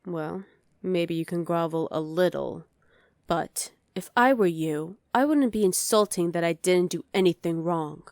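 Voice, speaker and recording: A woman's voice: on the low side, a little slow, darkish tone, dry recording, studio quality. A woman voicing a dominant, haughty evil queen in an ASMR roleplay, imperious, sly and condescending. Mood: imperious, threatening.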